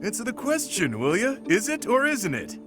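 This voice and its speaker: Cocky young male fighter, highish swinging voice, blunt and slangy, game dialogue. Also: wildly swooping pitch, normal speed, over music. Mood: exasperated. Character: brash, cocky young brawler. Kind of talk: English video game voice acting.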